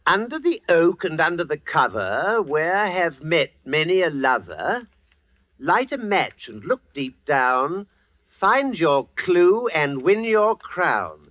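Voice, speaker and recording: An actor playing a fussy, timid gentleman's servant, prim and exclamatory, in an old-time radio mystery. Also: a little high, sing-song, slow pace, dry recording. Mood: pleased, puzzled. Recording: muffled vintage radio recording.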